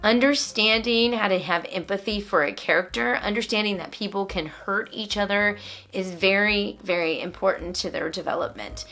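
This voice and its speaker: Bright-voiced woman explaining to parents. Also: medium pitch, normal speed. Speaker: homeschooling mother and vlog host. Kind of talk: explanatory vlog narration to parents.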